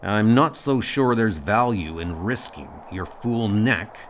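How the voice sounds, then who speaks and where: A man voicing a gruff, blunt, exasperated starship doctor in an audio comic dub. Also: fairly low pitch, lively intonation, slow pace, slight background noise. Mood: sardonic. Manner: blunt, argumentative, sardonic.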